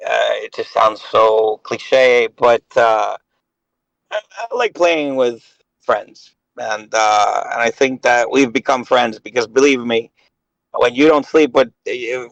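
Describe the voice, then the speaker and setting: A man's voice: medium pitch, slow, creaky, fairly bright tone, no room sound, dull audio. A man in his late thirties chatting casually on a voice call, rambling and hesitant with many fillers. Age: 30s. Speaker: gaming guest. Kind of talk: casual chat.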